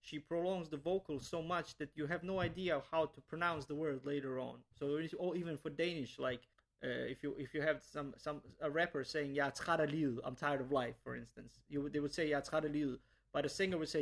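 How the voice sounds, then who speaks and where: A man's voice: on the high side, fairly quick. A young man from Bosnia, a language teacher, answering interview questions earnestly with many restarts and repeated words.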